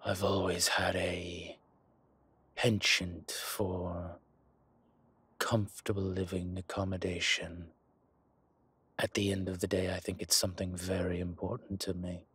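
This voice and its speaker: A very husky, rough-voiced dragon crime lord, acted out by a man, speaks slowly and deep, close and dry. He is suave and arrogant, savoring his words with deliberate pauses in an intimate audio roleplay. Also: flat delivery, fairly bright tone, dry recording, full character voice. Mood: smug, teasing. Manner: slow, deliberate, pausing, smooth-talking. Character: suave, arrogant male dragon mob boss, older, fond of luxury. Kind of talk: intimate scripted roleplay audio, one-sided conversation.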